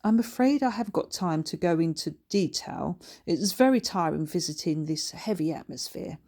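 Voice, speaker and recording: A woman's voice: on the low side, expressive, normal speed, warm tone. A woman voicing the jovial, genteel ghost of a grandfather in a storybook, with courteous, old-fashioned phrasing. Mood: explanatory.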